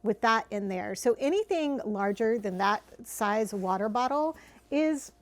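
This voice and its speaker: A middle-aged woman giving a chatty product review to camera, slightly hoarse from losing her voice, often repeating words for emphasis. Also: on the high side, lively intonation, a little slow. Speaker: travel gear reviewer.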